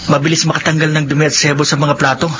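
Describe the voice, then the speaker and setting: A man's voice: fairly high pitch, flat delivery, quick pace, a bit bright, a little hiss or hum, lightly acted. A man playing a repentant young man in a radio drama, earnest and respectful. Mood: humble, respectful. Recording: dull audio with music underneath. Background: music.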